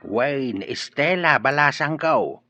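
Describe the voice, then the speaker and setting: A man playing a caring middle-aged father in a radio drama, speaking gently. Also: medium pitch, animated, takes it slowly, exaggerated voice. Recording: dry muffled studio audio.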